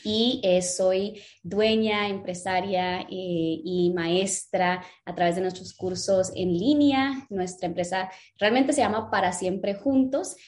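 A woman's voice: mid-range voice, fairly even intonation, slow pace, hardly any pauses, a little mellow. A woman from Guatemala, a lettering teacher and business owner, telling her story in a casual interview with frequent fillers.

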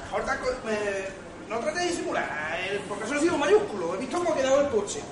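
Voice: sits high, sing-song, quick pace. A performer playing a fussy, overbearing older uncle in an amateur comic radio play, scolding anxiously and insistently. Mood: worried scolding. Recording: roomy distant stage recording, noisy audience.

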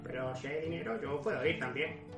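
A man's voice: on the high side, expressive, fairly quick. A man playing a naive, defensive bird-folk adventurer in a fantasy drama. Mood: casual. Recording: roomy echo, faint music.

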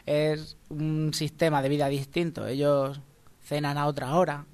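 High-pitched Spanish male radio host. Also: flat delivery, measured pace, a little mellow. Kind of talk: casual comedy radio presenting.

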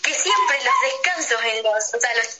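A woman's voice: fairly high pitch, normal speed, background noise. A teenage girl with an Argentine accent chatting casually and playfully as a radio co-host. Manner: playful, jokey. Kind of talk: casual chat among friends.

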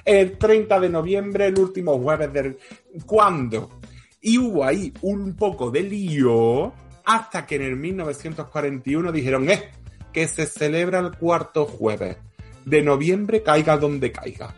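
A man's voice: fairly high pitch, expressive, unhurried, husky, faint music behind. A man from co-hosting a casual podcast, telling trivia in a playful, jokey way and laughing often. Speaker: podcast co-host. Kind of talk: casual chat, storytelling trivia.

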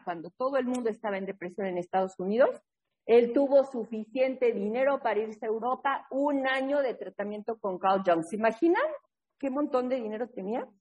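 Lively woman leading a support meeting. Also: fairly high pitch, slightly rough, lo-fi sound. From New England, US. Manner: lively, keeps checking in with a tag question. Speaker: recovery workshop leader and sponsor. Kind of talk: casual storytelling talk in an online support meeting.

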